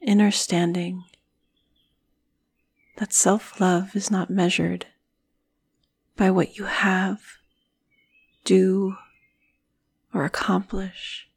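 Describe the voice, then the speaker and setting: A woman guiding a meditation in a soothing, breathy manner, with phrases often trailing off into a whisper. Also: medium pitch, little pitch movement, extremely slow, pauses often. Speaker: meditation guide. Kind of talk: guided meditation narration.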